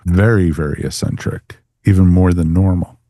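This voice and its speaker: Deep, mellow-voiced male podcast host. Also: fairly even intonation, normal speed, no echo, studio quality. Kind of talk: casual review discussion.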